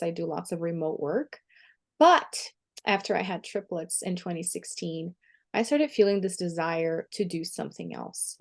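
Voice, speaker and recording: Woman, thirties, lowish pitch, chatty and upbeat. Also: a little mellow. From Brazil. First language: Portuguese. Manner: upbeat, chatty, laughs easily. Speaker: guest, empowerment coach. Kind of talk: podcast interview.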